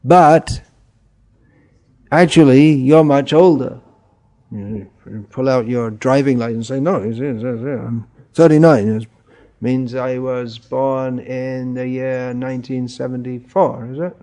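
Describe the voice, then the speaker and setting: A middle-aged man giving an informal spiritual talk in a gentle way, chuckling and putting questions to his listeners. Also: medium pitch, fairly even intonation, slow pace. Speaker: spiritual teacher.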